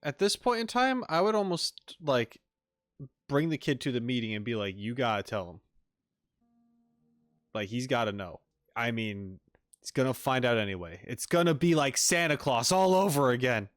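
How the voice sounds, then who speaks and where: A male streamer reacts casually to a video game with a wry, joking manner. His mid-pitched voice is very lively in intonation, recorded dry and close to the mic. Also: normal speed, no room sound.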